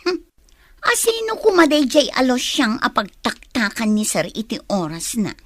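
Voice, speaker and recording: Radio drama role: inquisitive woman secretary, expressive, very lively pitch, close dry recording. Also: normal speed, no echo, full character voice. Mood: gossipy, suspicious. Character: nosy, suspicious female office secretary. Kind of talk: Ilocano radio drama acting.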